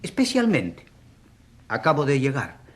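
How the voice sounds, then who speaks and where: An actor playing a stubborn man from the provinces who pleads for an accused priest in a radio drama, halting and insistent. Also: mid-range voice, huge pitch swings, breathy edge, exaggerated voice. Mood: indignant, pleading.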